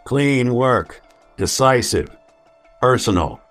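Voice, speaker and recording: An actor playing a world-weary, hard-boiled male detective in an old-time radio drama, speaking tersely and deliberately. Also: medium pitch, animated, a bit bright, faint music behind. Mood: terse, wry.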